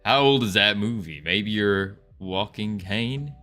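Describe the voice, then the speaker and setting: Young guy on a livestream, bright voice a bit low, goofy put-on southern accent, folksy cowboy talk, some music in back. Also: slow. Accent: put-on American Southern. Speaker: live streamer chatting with viewers. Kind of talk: casual livestream chat.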